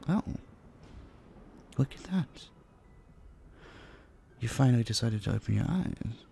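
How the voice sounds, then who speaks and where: A man voicing a possessive, centuries-old vampire in an intimate roleplay drama, slow and condescending, with a husky, breathy voice that drops into whispers. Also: fairly low pitch, warm tone, slight background noise. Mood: cool, mocking. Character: possessive centuries-old male vampire, dominant, menacing captor.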